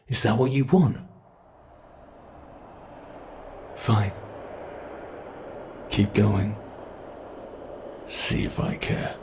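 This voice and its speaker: A cruel monster with a British accent, voiced by a man for an ASMR horror roleplay. He drawls slowly and deliberately, savouring every taunt. His voice is gravelly with a rough edge. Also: fairly low pitch, full character voice. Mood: menacing. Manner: slow, taunting, menacing. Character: cruel male monster hunting a captive.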